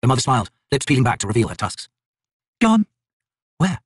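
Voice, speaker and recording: A man's voice: mid-range voice, racing, breathy edge, no echo, clean studio sound. A man narrating a fantasy story in a solemn, theatrical, scripted style. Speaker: audiobook narrator.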